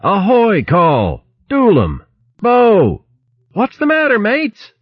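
Man as a gruff, commanding storybook sea captain. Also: low-pitched, very animated, unhurried, dry recording, muffled recording, exaggerated voice. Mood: alarmed. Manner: decisive, gruff seafaring orders.

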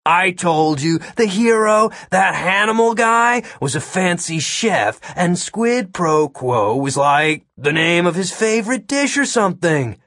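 A man's voice: on the high side, sing-song, normal speed, fairly bright tone, no room sound, clean studio sound, voice pushed up. A man voicing a cocky, childish young male prisoner who talks in a smug, mocking, emphatic way for a comic story. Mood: insistent.

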